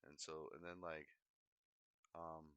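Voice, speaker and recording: A man's voice: extremely low pitch, level tone, slow pace, a bit bright, clean tone, no echo, dull audio. A man livestreaming in a rambling, unscripted way, often hesitating, digressing and correcting himself mid-thought. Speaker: livestream host.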